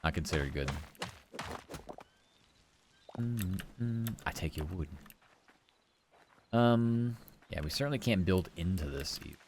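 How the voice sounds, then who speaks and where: Low, cozy-voiced man streaming a game. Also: expressive, slow, long pauses, darkish tone, a little hiss or hum. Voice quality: comfy, cozy tone. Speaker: livestreamer. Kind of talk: casual gameplay chat with viewers.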